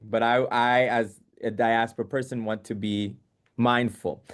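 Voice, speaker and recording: A man's voice: medium pitch, flat delivery, measured pace. A man moderating a post-screening Q&A over a video call, asking careful, hedged questions.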